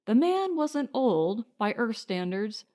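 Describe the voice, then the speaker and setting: A woman narrating a scripted story as an audiobook reader, in a measured, deliberate manner. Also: on the high side, big pitch swings, warm tone.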